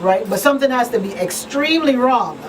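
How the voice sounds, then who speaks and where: Higher-voiced woman, noisy distant mic. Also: expressive, a little fast. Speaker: talk show host. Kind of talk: conversational talk show discussion. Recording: distant mic, clear background noise.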